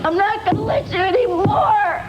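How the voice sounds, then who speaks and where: A woman's voice: very high-pitched, normal speed, dull audio, music in the background. A woman who is crying and distressed, speaking as a therapy participant in an emotional confrontation exercise. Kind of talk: emotional confrontation exercise, repeating prompted phrases.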